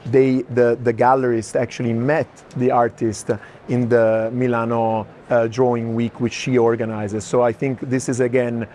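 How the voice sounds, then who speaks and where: A man's voice: mid-range voice, little pitch movement, moderate pace, warm tone. A man moderating an unscripted walking conversation about art. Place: walking through an art fair. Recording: clear background noise of busy fair.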